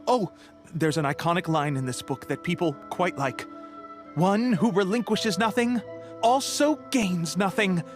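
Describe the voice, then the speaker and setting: A woman voicing a calm, bookish adviser in a video game, measured and explanatory. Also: lowish voice. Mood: calm, thoughtful. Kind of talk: video game character dialogue. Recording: game music behind the voice.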